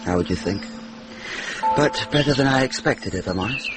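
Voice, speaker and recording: An actor playing a practical, candid medieval lord and deputy sheriff in a radio drama, direct and matter-of-fact. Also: male voice, mid-range voice, animated, moderate pace, loud music behind the voice, natural voice. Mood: frank. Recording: noisy radio drama recording.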